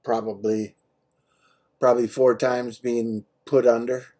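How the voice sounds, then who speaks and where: Man, American accent, dark relaxed tone, casual phone talk, matter-of-fact. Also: medium pitch, unhurried. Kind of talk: casual personal phone chat. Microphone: phone call.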